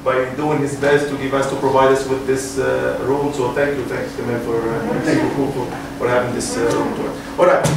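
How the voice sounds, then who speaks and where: Echoey, flat-toned man moderating an event. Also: medium pitch, vocal fry. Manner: halting, hesitant delivery. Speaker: moderator introducing guest speakers. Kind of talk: unscripted event introduction. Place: university room. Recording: echoey room, distant mic, noisy.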